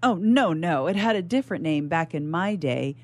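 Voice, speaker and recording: A woman voicing a loud, bossy but doting old grandmother in a short story read as radio theatre. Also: lowish voice, expressive, normal speed, no room sound. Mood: dismissive, forgetful. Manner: insistent, fussing.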